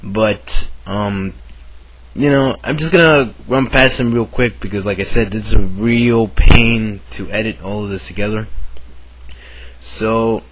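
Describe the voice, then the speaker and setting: A man with a cold and a sore throat, chatting casually to camera in a halting way, full of fillers and restarts. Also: on the low side, a bit bright, slight background noise, low-quality recording. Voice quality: raspy. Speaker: video maker.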